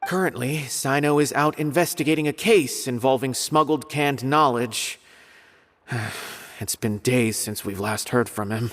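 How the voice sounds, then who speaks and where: A man's voice: mid-range voice, a little slow, a bit bright, close to an everyday voice. A man voicing a polite, earnest officer in an anime-style game, courteous and slightly hesitant, with a worried seriousness.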